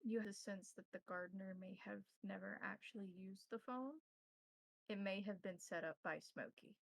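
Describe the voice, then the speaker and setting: A woman's voice: medium pitch, moderate pace, vocal fry, dry recording, low-quality recording. A woman game master narrating a tabletop roleplaying game.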